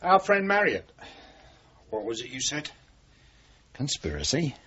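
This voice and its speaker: A British actor playing a cornered, wily, middle-aged chief inspector in a radio drama, blunt, sardonic and probing. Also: male voice, mid-range voice, sing-song, measured pace, bright, forceful tone, a little hiss or hum. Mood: sceptical. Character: cornered, wily veteran police chief inspector, defiant and cynical. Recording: dull, muffled old radio recording.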